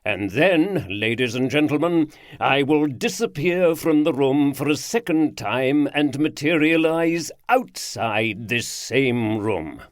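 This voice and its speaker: A man voicing a pompous aristocratic lord in a storybook, with a rich baritone, an aristocratic drawl and slow, grand, theatrical delivery. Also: big pitch swings, no echo, studio quality.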